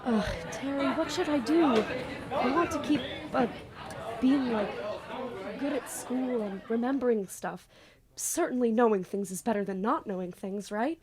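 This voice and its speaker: An actress plays a young female student magician with a slightly high voice. She sounds earnest, anxious and defensive, a little sorry for herself, in the style of an amateur audio drama. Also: measured pace, a crowd in the background, natural voice. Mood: hesitant, torn. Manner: earnest, hesitant, self-pitying. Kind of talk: amateur audio drama acting with first-person narration.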